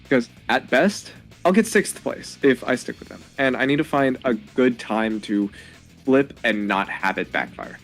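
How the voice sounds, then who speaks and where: Young man, twenty-one, gravelly, worn-out and halting; casual strategy confessional. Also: mid-range voice, soft background music. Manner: tired, hesitant, stumbling over words. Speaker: player in a online game contest.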